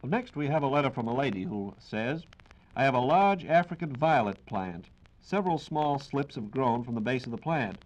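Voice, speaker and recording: A man's voice: mid-range voice, expressive, normal speed, low-quality recording. Polished American 1940s radio announcer, male. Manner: formal, polished, courteous.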